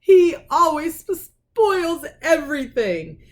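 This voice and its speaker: Spoiled wailing boy, acted by a woman, shrill pitch. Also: very animated, heavily acted. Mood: fake sobbing. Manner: wailing, stuttering, drawn-out sobbing. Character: spoiled whiny boy faking sobs, storybook bully.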